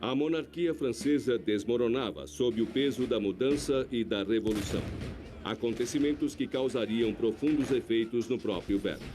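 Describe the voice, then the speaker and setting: A man narrating a documentary in a measured, scripted voice-over. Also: mid-range voice, background noise.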